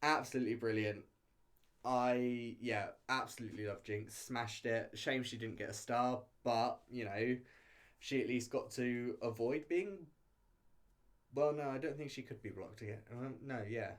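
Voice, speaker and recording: A man's voice: mid-range voice, a little slow. A man giving a casual, chatty video review, talking in a hesitant, rambling way with frequent hedging and self-interruption. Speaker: reality show reviewer.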